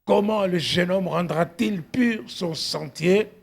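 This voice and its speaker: A middle-aged man preaching as a pastor, emphatic and exhortative, often calling out to his congregation, with a husky, gravelly voice. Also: sits high, slow. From outside Belgium, arrived at eighteen. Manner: emphatic, punctuated with repeated exclamations and questions to congregation. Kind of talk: exhortative sermon.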